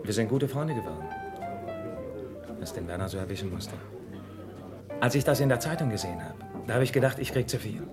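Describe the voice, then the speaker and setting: A man's voice: lowish voice, lively intonation, brisk, pauses often, over loud music, lightly acted. An actor playing a matey but evasive club barman with a shady past in a radio crime drama, talking colloquially. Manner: colloquial, cagey. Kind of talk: German radio crime play acting, colloquial.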